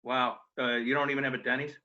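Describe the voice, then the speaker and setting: A man in his fifties co-hosting a podcast over a video call, telling animated stories and teasing his co-host. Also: medium pitch, quick pace, muffled recording. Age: 50s.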